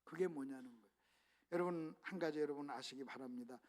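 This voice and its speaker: A man's voice: fairly high pitch, a little fast, darkish tone. A male pastor preaching a revival sermon emphatically, often calling out to the congregation.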